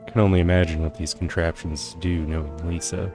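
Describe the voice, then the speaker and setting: A man's voice: low voice, little pitch movement, fairly quick, over music. A man giving casual, laid-back let's-play commentary as a video game streamer.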